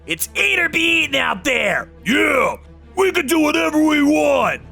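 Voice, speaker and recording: A man's voice: very high-pitched, sing-song, measured pace, gravelly, faint music behind, heavily acted. A man voicing captured male thugs who scream and beg in a manga dub. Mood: defiant. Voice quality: shouting, screaming in pain. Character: two young male thugs being tortured.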